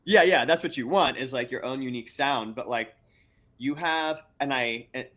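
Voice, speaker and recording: A man in a middle pitch range who hosts a relaxed interview. He rambles, restarts his words, and keeps breaking into laughter as he talks. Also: normal speed. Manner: rambling, stammering, laughs often. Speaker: podcast host. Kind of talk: casual interview chat.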